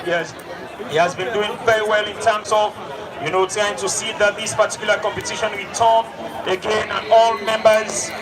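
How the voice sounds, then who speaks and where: A man's voice: high voice, steady tone, moderate pace. A man with a Sierra Leonean accent giving live football commentary in emphatic, repetitive phrases. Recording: distant mic in loud crowd. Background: loud crowd at outdoor ground.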